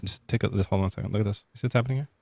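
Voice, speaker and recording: A low-pitched man hosts a casual late-night debate stream, cracking jokes and often tripping over his own sentences. His voice sounds dry and close to the mic. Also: gravelly, a little mellow, no echo. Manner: joking, halting, many restarts. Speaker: debate show host.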